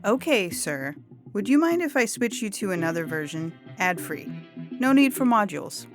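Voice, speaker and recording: A woman's voice: medium pitch, very animated, moderate pace, music in the background, natural voice. A woman playing a condescending, officious corporate customer-relations agent with fake politeness in a science fiction audio story. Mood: weary, curt. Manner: placating, officious. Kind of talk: dramatized audio fiction reading.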